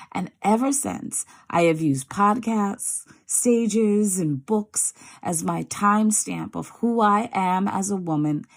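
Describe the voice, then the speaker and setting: A woman from New York with an American accent, reading a prepared piece as a poet with deliberate, theatrical phrasing. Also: fairly low pitch, darkish tone. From New York, United States. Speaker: poet, actress and storyteller. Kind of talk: scripted self-introduction and poetry recital.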